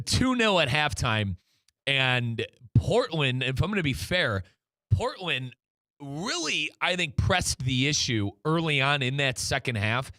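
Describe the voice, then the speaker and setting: Man, sports radio host, mid-pitched, bright forceful tone, opinionated, casual talk, dry sound. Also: no echo. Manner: opinionated, emphatic. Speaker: soccer show host and radio play-by-play commentator.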